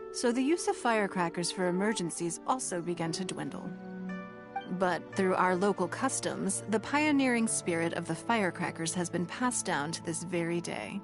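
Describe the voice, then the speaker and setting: An actress playing a gracious, dignified noblewoman leader in a video game, speaking in a formal, measured manner. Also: fairly low pitch, natural voice. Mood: explanatory. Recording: game music behind the voice.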